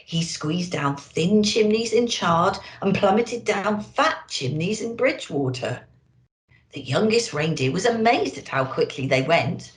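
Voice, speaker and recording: A woman reading a children's picture book aloud in an expressive manner, as an elf storyteller. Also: on the low side. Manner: expressive, addressing children directly. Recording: roomy, echoey indoor sound.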